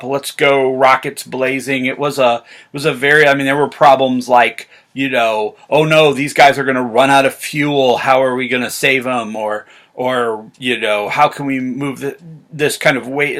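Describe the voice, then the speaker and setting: American man, vlog host, crisp bright edge, creaky voice, informal rambling delivery, excited fan talk. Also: mid-range voice. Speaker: vlog host and science fiction reviewer. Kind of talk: casual enthusiastic chat to camera.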